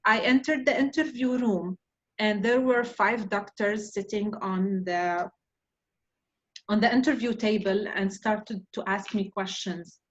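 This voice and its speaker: A woman's voice: mid-range voice, unhurried, muffled recording. Lebanese-accented woman hosting a group session. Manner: reflective, personal storytelling. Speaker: mastermind group host. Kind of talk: online group discussion.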